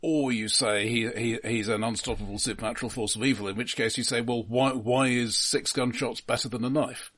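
A man co-hosting a podcast, analysing films casually in a halting way, repeating words as he argues. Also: medium pitch, fairly even intonation, a bit bright. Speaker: film discussion podcast co-host. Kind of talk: casual analytical film discussion.

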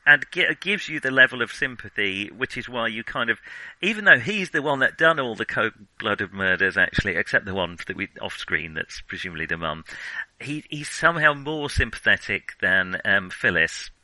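A man's voice: on the low side, very animated, moderate pace, pushed and bright, no room sound, poor audio. A man co-hosting a film podcast in casual discussion, thinking aloud in hesitant, broken phrases.

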